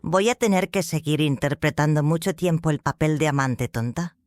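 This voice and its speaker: Man voicing a plaintive young woman, fairly high. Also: moderate pace, lightly acted. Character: weary young sorceress, submissive woman subordinate. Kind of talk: dramatised audiobook dialogue.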